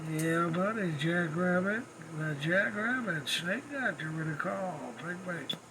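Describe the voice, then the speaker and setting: A man's voice: high voice, a little slow, very noisy. A man making folksy, repetitive CB radio calls in slangy radio lingo. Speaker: radio operator making calls to other stations. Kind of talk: CB radio calling chatter.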